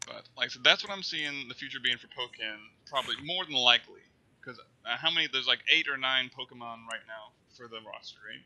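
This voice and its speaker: A man's voice: medium pitch, fairly quick, bright, forceful tone. A man co-hosting a casual gaming podcast, teasing and joking with his partner. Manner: teasing, joking back-and-forth. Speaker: podcast co-host. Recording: dull lo-fi mic.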